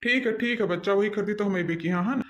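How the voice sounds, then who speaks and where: A man's voice: high-pitched, quick pace, fairly bright tone. A man voicing a fatherly, commanding village exorcist holy man in a cartoon comedy, instructing with an Awadhi accent. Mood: assured, instructing. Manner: calling others child, instructing. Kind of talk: amateur cartoon comedy voice acting.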